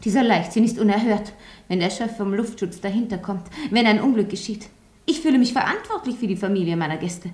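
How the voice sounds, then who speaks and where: A woman's voice: medium pitch, animated, a little fast, a little mellow, lightly acted. An actress playing a commanding, grandiose and sentimental French landlady in a German radio drama with roomy sound. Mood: fussy, fond. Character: bossy, theatrical French landlady, sentimental about her lodgers.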